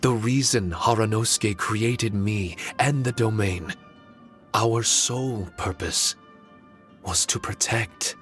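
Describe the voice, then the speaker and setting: A man voicing a courteous, solemn ancient guardian spirit in an anime game, speaking formally and in a measured way. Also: lowish voice, fairly bright tone, faint music behind. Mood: solemn, reflective.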